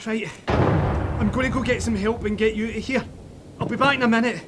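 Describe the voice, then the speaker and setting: An actor playing a plucky, stubborn young Glaswegian soldier, gruff and urgent but reassuring, in an audio drama. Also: male voice, very high-pitched, steady tone, a little fast. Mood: gruff, steady. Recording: battlefield noise behind.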